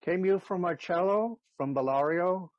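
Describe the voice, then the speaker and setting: A man's voice: high-pitched, normal speed, no room sound, natural voice. A man acting a stately, courteous duke presiding over a court in an audio play, formal and authoritative, on a slightly dull recording.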